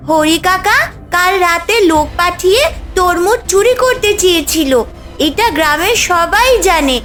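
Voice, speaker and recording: A woman's voice: extremely high pitch, expressive, measured pace, fairly bright tone, soft background music, heavily acted. A woman voicing a brave, kind-hearted young village girl in a cartoon story, speaking earnestly and with care. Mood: indignant.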